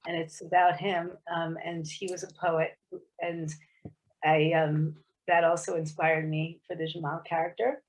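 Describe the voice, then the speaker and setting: Thoughtful woman author, lowish voice. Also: flat delivery, a little slow, rough recording. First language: English. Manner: thoughtful, hesitant, many restarts. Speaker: author guest at a book club. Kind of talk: casual explanatory talk on a video meeting.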